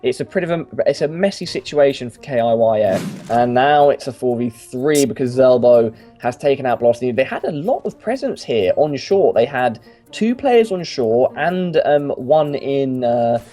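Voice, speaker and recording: A man's voice: medium pitch, moderate pace. Brief: young man, amateur esports caster, chatty and jokey, music under the voice. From London. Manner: chatty, jokey, excitable on big plays. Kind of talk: casual esports commentary.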